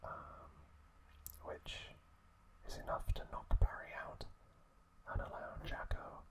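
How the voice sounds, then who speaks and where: A man whispering breathily and haltingly with long pauses, close to the microphone, as an ASMR host recapping a story.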